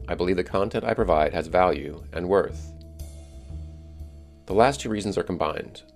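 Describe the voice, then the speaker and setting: Deep, gravelly middle-aged man narrating. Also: moderate pace, soft background music. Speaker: podcast host. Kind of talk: scripted narration.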